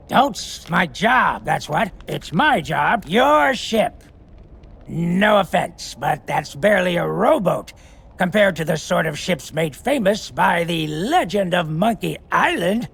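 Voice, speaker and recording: A man playing an eccentric, boastful old sea salt in a video game, rambling theatrically in a raspy voice. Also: high-pitched, fairly bright tone, exaggerated voice. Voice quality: raspy, gravelly. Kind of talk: video game character acting.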